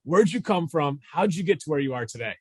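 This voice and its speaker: A man's voice: fairly high pitch, animated, fairly quick, a little mellow, slightly rough, no echo, studio quality. A man hosting a podcast interview, greeting his guest in an upbeat, friendly way with fillers. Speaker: podcast host.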